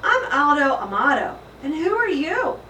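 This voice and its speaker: A big jovial storybook janitor man, acted by a woman, hearty and joking, pushed up high and loud with a bright edge. It is heard in an echoey room, a bit away from the mic. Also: big pitch swings, measured pace, heavily acted. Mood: friendly. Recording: roomy echo, distant mic, faint noise.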